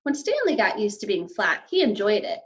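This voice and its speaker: A woman teacher reading a children's story aloud and explaining it to young pupils in an expressive way. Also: mid-range voice, brisk. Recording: slightly dull, lo-fi sound.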